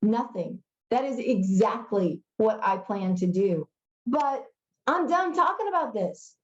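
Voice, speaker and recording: A woman's voice: medium pitch, slow pace, dark tone, echoey room, highs cut off, lightly acted. A woman reading the part of a cool, reluctant middle-school boy schemer in a storybook, terse and understated. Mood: dismissive, firm.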